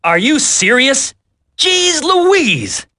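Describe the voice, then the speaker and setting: Brash, forceful male squad leader. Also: very high-pitched, very animated, extremely slow, exaggerated voice. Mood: exasperated. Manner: brash, slangy, bossy. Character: gruff, impatient, wisecracking male squad leader. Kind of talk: video game voice acting.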